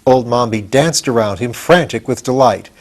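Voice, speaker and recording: A man's voice: mid-range voice, moderate pace, darkish tone. Older man reading a storybook. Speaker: audiobook reader. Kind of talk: expressive storybook narration.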